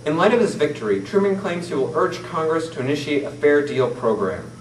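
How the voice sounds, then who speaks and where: A man's voice: on the high side, fairly quick. Teen boy reading mock newsreel. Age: teens. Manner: formal, steady reading from a script. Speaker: student newsreader. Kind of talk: mock 1940s newscast read from paper. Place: classroom, seated at a table. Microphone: far camera mic. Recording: roomy, distant camera audio with faint hiss.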